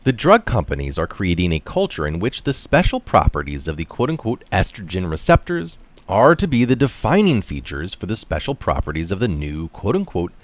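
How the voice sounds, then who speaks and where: Low-voiced man reading with wide pitch swings. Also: wildly swooping pitch, brisk, no room sound. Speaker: reader of articles. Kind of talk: reading an article aloud with brief casual asides. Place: small room indoors.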